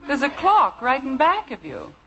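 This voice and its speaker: A woman's voice: sits high, huge pitch swings, moderate pace, fairly bright tone, heavily acted. An actress playing a suspicious, dry-witted wife and mother in a 1950s radio sitcom, questioning and knowing. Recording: noisy old radio show recording.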